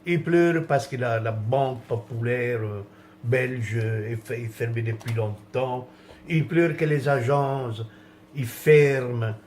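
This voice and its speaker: A man's voice: mid-range voice, slow, a little mellow, slight background noise. A man from France in a rambling, indignant rant, with many restarts and trailing phrases.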